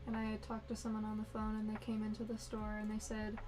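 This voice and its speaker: A woman artist chatting with viewers on a livestream as she draws, in a soft, flat, monotone voice. She reads viewer comments aloud in a low-key way. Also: quick pace, poor audio. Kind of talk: casual livestream chat while drawing.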